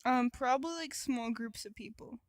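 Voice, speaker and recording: Higher-pitched young American woman, wry. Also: moderate pace, dry recording, studio quality. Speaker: podcast co-host. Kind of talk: casual comedic chat.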